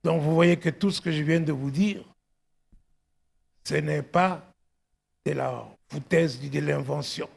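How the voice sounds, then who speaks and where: A middle-aged man preaching as a pastor, emphatic and exhortative, often calling out to his congregation, with a husky, gravelly voice. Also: on the high side, a little slow, long pauses. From outside Belgium, arrived at eighteen. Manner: emphatic, punctuated with repeated exclamations and questions to congregation. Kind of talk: exhortative sermon.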